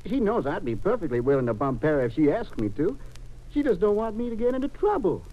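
A male actor playing a polite, slow-witted, lovesick crook in a 1950s radio drama, folksy, earnest and apologetic. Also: sits high, sing-song, slight background noise. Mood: lovestruck. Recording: dull old radio recording.

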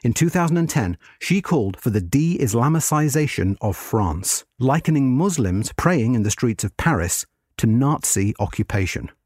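Male article narrator: measured, formal scripted history read, animated pitch movement, close dry recording. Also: husky, dry recording.

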